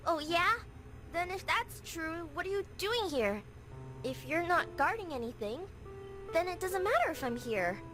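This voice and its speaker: Very high-pitched anxious teenage girl, acted by a woman. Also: big pitch swings, measured pace, music in the background, exaggerated voice. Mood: defiant. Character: determined, anxious teenage schoolgirl in a visual novel. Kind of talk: visual novel voice acting.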